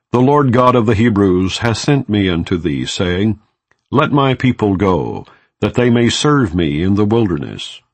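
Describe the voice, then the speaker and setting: Low male voice reading bible audiobook, solemn n measured, pitch moves around a lot, really dry close sound. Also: dry recording. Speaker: audiobook narrator. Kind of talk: non-dramatized scripture reading.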